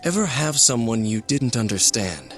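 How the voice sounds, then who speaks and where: Male actor as thoughtful knight, medium pitch, courteous formal phrasing, composed, video game cutscene, clear music behind. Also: big pitch swings, moderate pace, lightly acted. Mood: thoughtful, calm. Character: gentle, thoughtful noble knight, calm and formal.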